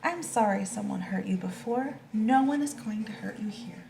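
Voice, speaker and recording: A woman voicing a gentle, reassuring porcupine who helps others in a storybook. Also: mid-range voice, moderate pace, lightly acted. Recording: echoey room with clear background noise.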